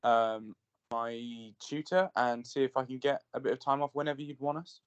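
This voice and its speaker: A man's voice: medium pitch, steady tone, normal speed, clean tone. A young man, a performing arts student, politely voicing his concerns. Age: young adult. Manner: polite and careful, voices concerns. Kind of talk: casual planning call. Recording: muffled call audio.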